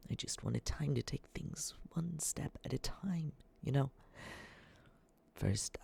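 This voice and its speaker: A man playing a nervous, lovestruck young male stalker in an ASMR roleplay, breathy and halting, stammering earnestly as he softly reassures the listener. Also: on the low side, heavily acted. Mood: nervous, hushed. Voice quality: breathy, whispery. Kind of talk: ASMR roleplay, soft scripted monologue to the listener.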